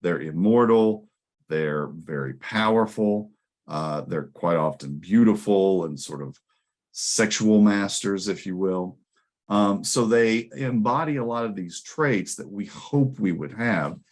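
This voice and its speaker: A man with a low-pitched voice delivers an academic conference talk. He explains ideas in a measured, scholarly manner, adding brief asides and tag questions as he goes. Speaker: academic presenter. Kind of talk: conference presentation, explanatory lecture.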